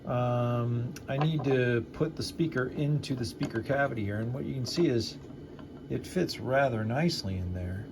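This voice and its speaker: A man's voice: medium pitch, normal speed. Man casually narrating DIY build, background noise. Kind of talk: casual hands-on project narration.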